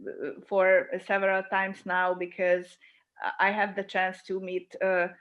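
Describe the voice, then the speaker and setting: A middle-aged woman talks in a thoughtful, searching way and pauses to find her words. The audio is a dull, lo-fi video call with her voice at a middle pitch. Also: monotone. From Hungary. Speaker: guest, filmmaker. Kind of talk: casual interview chat.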